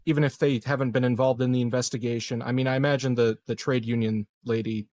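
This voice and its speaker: A man with a mid-pitched voice chats casually as a tabletop game player. He is hesitant and thinks out loud, recorded close and dry. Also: flat delivery, quick pace, no echo.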